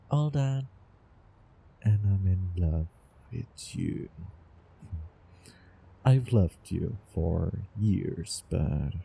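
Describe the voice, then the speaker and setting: A man playing a doting, obsessive young time traveler in love, in a theatrical romantic audio roleplay with halting, repeated words. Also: deep voice, creaky, mellow, slightly rough, heavily acted. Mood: adoring. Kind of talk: over-the-top romantic roleplay audio drama.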